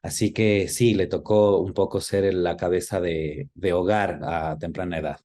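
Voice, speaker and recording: A man's voice: deep voice, steady tone, moderate pace, dark tone. A middle-aged man answering interview questions thoughtfully, with hesitations and little checking tags. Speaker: interview guest, screenwriter and filmmaker. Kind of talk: reflective conversational interview.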